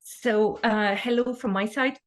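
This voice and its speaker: A woman's voice: a little high, steady tone, unhurried. A middle-aged woman from Estonia, speaking conversationally as a panelist on a webinar. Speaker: sales leader on a panel. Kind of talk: conversational self-introduction on webinar. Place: online video call from an office.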